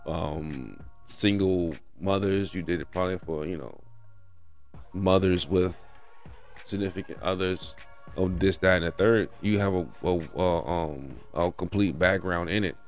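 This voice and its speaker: A man's voice: low voice, unhurried, faint music behind. A man hosting a casual podcast, teasing his guest and swearing freely. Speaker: podcast host.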